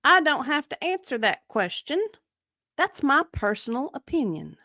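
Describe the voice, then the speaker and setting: Casting: woman performing indignant female deposition witness, evasive, insistent, lively swooping intonation, close dry sound. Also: high-pitched, moderate pace, no room sound, heavily acted. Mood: defensive. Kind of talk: dramatic reading of a deposition transcript.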